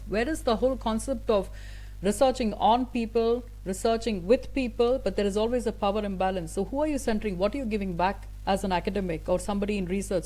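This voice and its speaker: A woman's voice: medium pitch, a little mellow, poor audio. A woman whose first language is Tamil, a guest speaker answering an interview at length in an earnest, thoughtful way, with frequent fillers and restarts.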